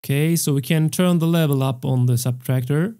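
A young man hosting a music production tutorial, explaining step by step in a measured way into a close studio mic. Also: on the high side, warm tone. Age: young adult. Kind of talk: tutorial narration. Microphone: close studio microphone on a stand.

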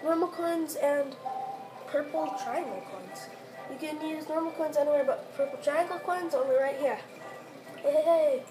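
A woman's voice: high-pitched, moderate pace, roomy sound, music in the background, natural voice, rough recording. A woman doing a chirpy little skeleton shopkeeper. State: losing voice from illness.

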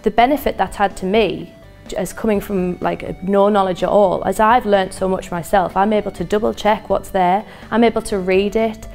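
A woman in her thirties speaks with an eager, chatty enthusiasm and drifts into creaky fry. She is recorded close on a lapel mic in a studio. Faint music plays underneath. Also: mid-range voice, steady tone, moderate pace, a little mellow. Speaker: essential skills teacher. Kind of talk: testimonial interview. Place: studio with dark backdrop.

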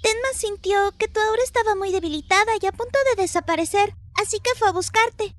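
A woman's voice: extremely high pitch, a little fast, slight background noise. A woman voicing a sweet, caring little girl in an anime fandub, childlike and earnest. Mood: relieved.

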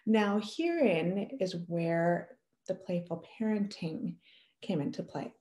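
A woman in her thirties telling a family story to camera in a casual, animated way. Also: lowish voice, takes it slowly, reverberant. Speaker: parenting educator. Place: small room indoors.

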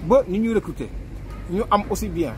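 A middle-aged man with a Senegalese accent giving his opinions in a street interview, animated and talking with his hands, recorded close on a handheld mic outdoors by a busy road. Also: high voice, a little fast. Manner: animated, gestures with hands, mixes two languages. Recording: street traffic noise behind.

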